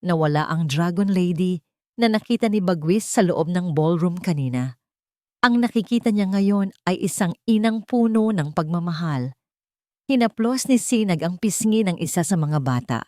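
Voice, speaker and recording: A woman narrating a romantic audio drama in a dramatic, expressive storytelling manner. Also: on the low side, normal speed, no echo, studio quality.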